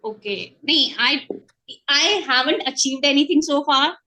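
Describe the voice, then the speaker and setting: Learner lady, high bright voice, kinda hesitant and halting. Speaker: English learner. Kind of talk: one-on-one online English lesson.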